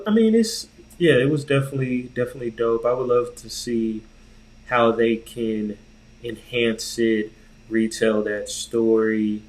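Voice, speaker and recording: A man's voice: lowish voice, rough recording. A man co-hosting a podcast, chatting casually in a laid-back, slangy way. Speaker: podcast co-host. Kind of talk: casual chat.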